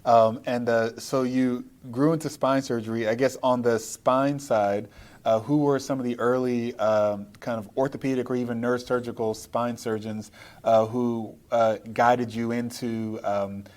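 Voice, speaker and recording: Halting male podcast interviewer. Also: medium pitch, steady tone, darkish tone. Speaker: podcast host. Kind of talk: interview, live podcast recording. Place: at a conference in Seattle.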